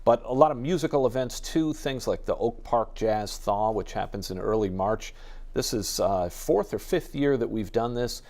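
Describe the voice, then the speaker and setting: A man's voice: lowish voice, normal speed, darkish tone. An American man talking casually as an interview guest from a radio station.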